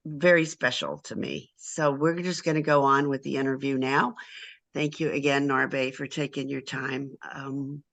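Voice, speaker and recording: A woman hosting an interview, effusive and a little halting as she asks questions. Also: low-pitched, a bit bright. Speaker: host, senior editor.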